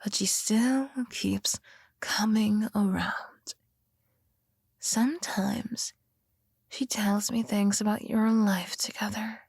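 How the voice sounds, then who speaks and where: A woman acting a possessive, sweetly menacing yandere girlfriend in an intimate ASMR roleplay, with a breathy voice that often drops to a whisper and a coaxing, threatening manner. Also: medium pitch, steady tone, no echo, clean studio sound, heavily acted. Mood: bitter, possessive. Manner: slow, coaxing, softly threatening.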